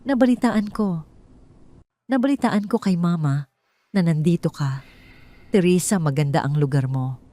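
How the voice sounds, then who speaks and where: A broken Filipino former businessman in an audio drama, as a woman storyteller puts him on. He is humbled and pleading, and his words come haltingly. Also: lowish voice, dark tone, no echo, close to an everyday voice. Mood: humble.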